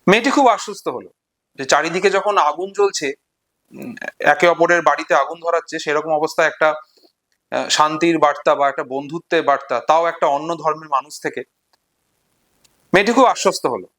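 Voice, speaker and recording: A man retelling and explaining a short story in an unscripted lesson, deliberately, pausing between short phrases. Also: on the high side, a bit bright. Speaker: literature explainer.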